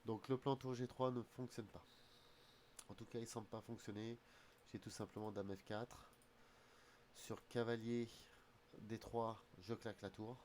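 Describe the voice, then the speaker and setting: Man, slight cold, low voice, chess streamer, muttering move calculations to himself. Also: flat delivery, measured pace, pauses often, darkish tone, a little hiss or hum. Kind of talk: thinking aloud while playing online chess. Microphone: streaming desk mic.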